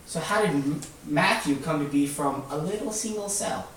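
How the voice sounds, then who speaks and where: A man's voice: a little high, normal speed. A man teaching children informally, explaining things simply and prodding them with repeated questions. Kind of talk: casual question-led lesson. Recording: echoey room, distant mic, faint noise.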